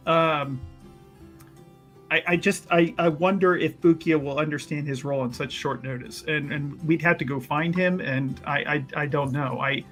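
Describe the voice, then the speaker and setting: Man playing a bright, higher-pitched smooth-talking schemer. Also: steady tone, normal speed, soft background music, natural voice. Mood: scheming, hedging. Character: smooth-talking scheming male adventurer, condescendingly reassuring. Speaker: tabletop role-playing player. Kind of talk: improvised in-character actual play.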